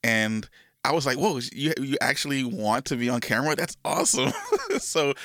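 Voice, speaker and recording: A man's voice: medium pitch, sing-song, normal speed, sharp tone, dry recording, studio quality. A man hosting a podcast, sounding a little congested from a cold, enthusiastic and rambling with restarts. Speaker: podcast host. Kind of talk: casual podcast intro and interview.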